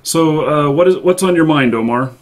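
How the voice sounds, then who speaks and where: Halting man hosting a call-in show. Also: a little high. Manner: halting, hesitant, polite. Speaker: live show host taking callers. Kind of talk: unscripted call-in show chat.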